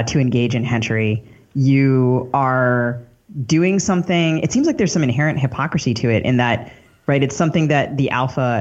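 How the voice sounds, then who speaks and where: A man's voice: medium pitch, darkish tone, dull audio. A man hosting a casual literary interview, inquiring and rephrasing his questions as he goes. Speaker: podcast host.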